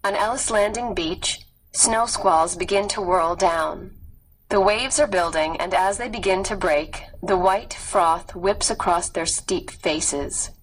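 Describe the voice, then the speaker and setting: A woman reading scripted nonfiction as an audiobook narrator, measured and expressive. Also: on the low side, slight background noise, rough recording.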